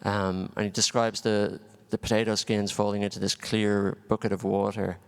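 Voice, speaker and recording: Man, Irish Dublin accent, festival panel director, thoughtful with restarts, smooth clear voice, long hall reverb. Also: fairly low pitch, flat delivery, normal speed. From Ireland, Dublin. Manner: thoughtful, with frequent restarts and ums. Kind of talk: panel discussion answer.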